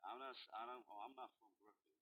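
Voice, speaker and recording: A man's voice: mid-range voice, fairly even intonation, fast, sharp tone. A man with a New York accent trash-talking in profane street slang, brash and combative, in a casual voice chat. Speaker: regular in a walkie-talkie app voice chat.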